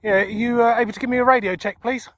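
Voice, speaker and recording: A man's voice: very high-pitched, fairly even intonation, quick pace. A man giving casual hands-on vlog commentary outdoors, as an amateur radio presenter. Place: outdoors on a windy high spot. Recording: windy outdoor recording, loud wind noise.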